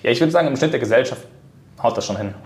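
A man's voice: mid-range voice, animated, racing, darkish tone. A man who works as a coach, speaking in casual podcast conversation, explaining his views carefully and correcting wording. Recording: echoey room.

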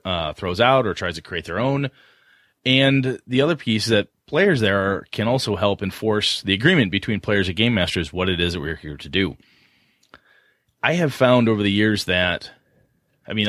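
A man in his forties with a fairly low voice chats on a gaming podcast. He laughs often and restarts his sentences as he goes. The recording is dry and close, with no room sound. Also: fairly bright tone, no room sound. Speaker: podcast co-host. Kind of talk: casual podcast chat.

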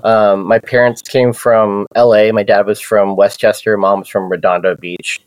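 Relaxed low-voiced man. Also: moderate pace, no echo. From Southern California. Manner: loose, many fillers and restarts. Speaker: podcast guest. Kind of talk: casual personal storytelling.

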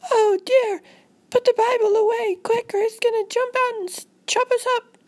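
Very high-pitched, panicky little girl. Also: lively intonation, measured pace, gravelly, a little mellow, full character voice. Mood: panicked, wailing. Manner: prim, formal address, overdramatic. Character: panicky literal-minded little girl, daughter, anxious and polite.

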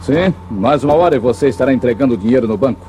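Um ator brasileiro interpretando um herói de faroeste destemido, com fala firme, formal e comedida de radionovela dos anos 1950. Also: male voice, medium pitch, lively intonation, mellow, slight background noise, lightly acted. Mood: resolute. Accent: Brazilian. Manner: firm, formal, measured. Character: steadfast, fearless cowboy hero lawman. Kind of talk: 1950s radio western acting.

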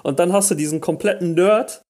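A man's voice: high-pitched, a little fast. A man co-hosting a casual podcast chat, talking animatedly and tripping over his words when he gets going. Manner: animated, restarts and stammers when excited. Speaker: podcast co-host.

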